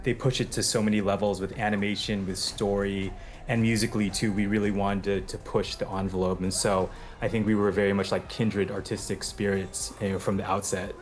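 A man's voice: lowish voice, little pitch movement, a little fast, slight background noise. A man from the United States who composes for film, answering interview questions in a thoughtful way with plenty of fillers and restarts.

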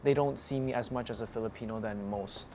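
A man's voice: mid-range voice, brisk, darkish tone, a little hiss or hum. Man chatting openly as a guest. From grew up in Hong Kong. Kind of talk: casual interview chat.

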